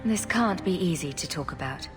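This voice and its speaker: A woman playing a caring, steady mage heroine in a fantasy video game, speaking earnestly and gently. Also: on the low side, normal speed, natural voice. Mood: gentle, curious. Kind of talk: dramatic video game dialogue. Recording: reverberant sound with faint music.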